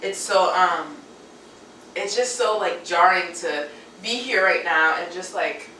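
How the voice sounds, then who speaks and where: A woman in her twenties co-hosting a faith podcast, chatting in a relaxed way with lots of fillers. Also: fairly low pitch, slow pace, reverberant, distant mic, a little hiss or hum, poor audio. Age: 20s. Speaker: podcast co-host. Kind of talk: casual chat.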